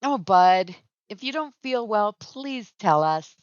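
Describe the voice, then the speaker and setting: An actress playing a gentle, worrying middle-aged American mother in a 1950s radio sitcom, tender and a little anxious, on a dull vintage recording. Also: mid-range voice, expressive, slow. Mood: worried.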